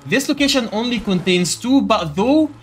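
A man's voice: extremely high pitch, expressive, normal speed, faint music behind. A man giving a casual gameplay tutorial, explaining steps directly to viewers. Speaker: gaming video creator giving a quest guide.

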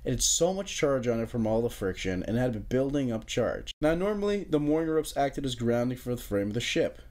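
A man's voice: mid-range voice, expressive, brisk, darkish tone. A young American man narrating an explainer in a casual, joking way, with informal wry asides. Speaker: narrator of an amateur history explainer video.

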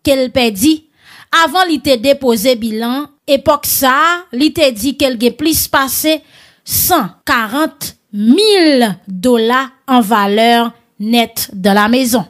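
A woman with a Haitian accent hosting conversational online news commentary, addressing her listeners familiarly in a bantering, animated way. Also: sits high, takes it slowly.

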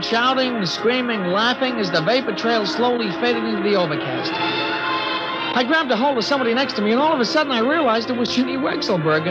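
An actor in a 1950s radio drama, playing a young man who narrates earnestly as a spaceship returns. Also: extremely high pitch. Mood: elated. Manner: earnest first-person telling, breaks into shouting joy. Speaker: narrator and lead character. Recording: dull old radio recording with music.

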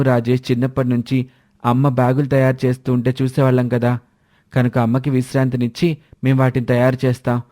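A man's voice: medium pitch, mellow, close to an everyday voice. A man voicing an eager, respectful younger brother who talks earnestly in a family story.

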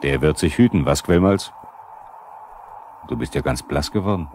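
A very low-voiced male narrator in a 1970s radio drama. He is sober and probing as he addresses the characters directly. The old vinyl recording carries faint noise. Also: lively intonation, normal speed, a little mellow.

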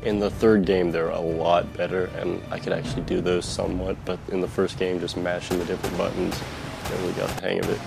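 A man's voice: low-pitched, flat delivery, quick pace, gravelly. A man giving casual, self-deprecating commentary as a let's play host, over game audio in a home recording. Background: game music and sounds.

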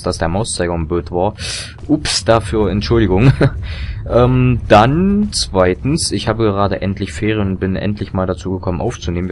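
A young man with a very deep, slightly hoarse voice chats his way through a video game. He rambles, corrects himself and fills gaps with ums and chuckles. A faint hiss sits behind his desk mic. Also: darkish tone. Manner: rambling, chatty, self-correcting with frequent fillers and laughs. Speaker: let's play commentator. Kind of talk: casual gameplay commentary.

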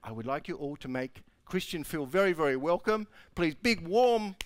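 A man's voice: a little high, expressive, normal speed. A man introducing the next speaker at a conference, upbeat and emphatic. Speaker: conference moderator.

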